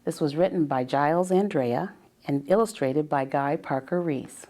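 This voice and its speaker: Female storybook narrator, fairly low pitch, very mellow tone. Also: normal speed. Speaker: professor reading a bedtime story.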